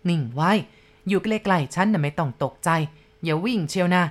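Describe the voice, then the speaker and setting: A woman's voice: lowish voice, a little fast, close to an everyday voice. A woman voicing a thin, irritable old male shaman in a storybook tale, speaking in stern commands. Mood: calming.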